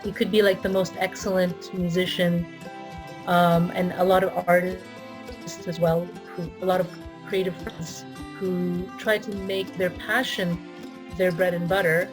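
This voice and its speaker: A woman's voice: fairly low pitch, level tone, measured pace, music in the background. A middle-aged woman from the Philippines, raised in Canada, chatting as an interview guest with frequent restarts. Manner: chatty, with restarts and light laughs. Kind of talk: casual interview talk.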